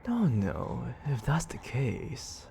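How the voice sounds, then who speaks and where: Haughty demon lord for ASMR roleplay, performed by an actor: suave, gravelly, lively intonation, frequent whispering, close-miked with clear background noise. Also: mid-range voice. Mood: skeptical, scornful. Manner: slow, theatrical, often dropping into breathy whisper.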